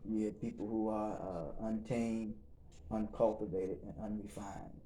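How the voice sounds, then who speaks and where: A man leading a recovery group session in a calm, measured way, with encouraging murmurs and thoughtful pauses. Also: on the low side, rough recording. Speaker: facilitator and reverend.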